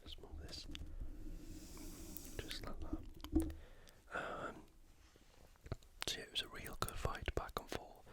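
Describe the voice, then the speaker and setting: A person whispering breathily and close to the microphone, giving an unhurried, soft-spoken ASMR sports recap. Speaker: ASMR YouTuber.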